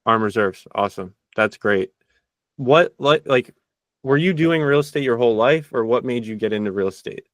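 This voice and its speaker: A man hosting a podcast, interviewing guests casually with energetic questions and frequent restarts. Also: medium pitch, moderate pace, dry recording. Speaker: podcast host.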